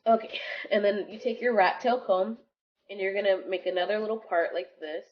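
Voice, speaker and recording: A woman's voice: mid-range voice, steady tone, moderate pace. Young woman vlogging, stuffy nose from a cold, lots of ums and likes, muffled sound. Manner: chatty, filler-heavy, rambling. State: congested from a cold. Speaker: beauty vlogger. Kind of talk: casual hair tutorial. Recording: dull lo-fi sound with background music. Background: music.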